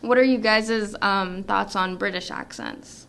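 A woman's voice: medium pitch, measured pace, vocal fry, fairly bright tone, clean tone. A young woman from North Carolina with a Southern American accent, hosting a casual student radio chat with lots of fillers. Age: young adult. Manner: chatty, hesitant with fillers. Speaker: radio show host and mediator.

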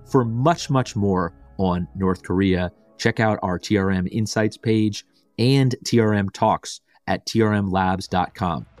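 Man, low voice, podcast host, clear and measured. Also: dry recording, faint music behind. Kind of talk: scripted intro then casual interview chat.

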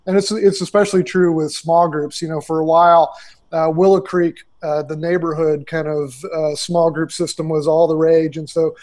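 A man in his forties speaks through earbuds on a video call from a small room. His voice sits a little high for a man. He answers in a loose, conversational way, full of fillers and restarts. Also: little pitch movement, normal speed, a little mellow. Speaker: ministry consultant. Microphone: earbud headset on video call.

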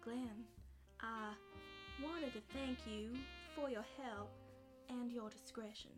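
A woman's voice: on the high side, fairly even intonation, takes it slowly, music in the background. A woman playing an anxious, secretive and polite client in a noir radio drama, with a fretful manner. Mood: soft, flirtatious. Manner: fretful, breaking into pleading.

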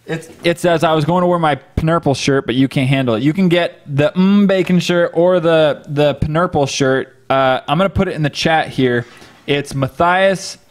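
A man's voice: fairly high pitch, moderate pace. Man hosting a casual livestream. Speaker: live stream host. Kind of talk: casual livestream chat answering viewers. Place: home office room, indoors. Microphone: close desk microphone on boom arm.

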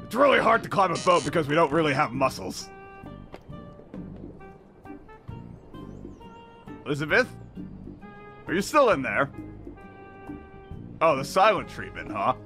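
Man doing a high, whiny comic pirate. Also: sing-song, normal speed, pauses often, sharp tone, full character voice. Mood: puzzled. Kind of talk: improvised comedy fandub. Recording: music under the dialogue.

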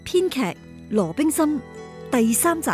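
A woman's voice: high voice, big pitch swings, a little slow, over music. A woman reading scripted title announcements for a radio drama.

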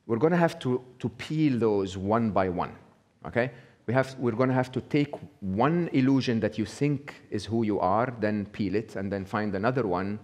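A man's voice: on the low side, moderate pace, darkish tone. A middle-aged man giving a live talk, conversational, checking in with the audience with a frequent "okay?". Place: small indoor venue with brick wall.

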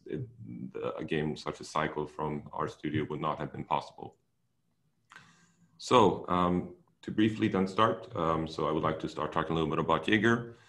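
A young man with a Swedish accent giving an online seminar presentation as a technical director, relaxed and with many fillers. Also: a bass voice. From Sweden.

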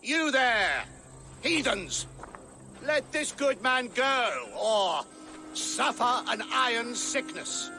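Piercing, righteous medieval abbot. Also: male voice, very high-pitched, very animated, takes it slowly, bright, forceful tone, soft background music, exaggerated voice. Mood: righteous threat. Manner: declamatory, preaching.

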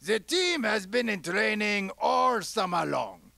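A man voicing a proud snowball team captain puppet in a comic sports interview, with deliberate, halting phrasing. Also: high-pitched, lively intonation, gravelly, bright, forceful tone, no echo, exaggerated voice. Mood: proud, earnest.

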